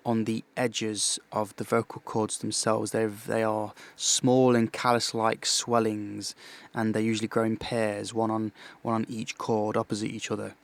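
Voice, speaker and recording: A man working as a vocal coach, calmly explaining vocal health in a podcast lesson, measured and explanatory, restarting his phrases often. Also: mid-range voice.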